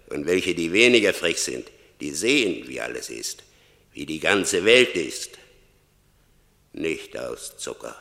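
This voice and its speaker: An actor playing Death as a stern, mocking judge in a radio play, declaiming with emphatic repetition. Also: male voice, rumbling low, creaky, sharp tone, heavily acted. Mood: harsh, contemptuous. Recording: vintage radio drama, long cavernous reverb.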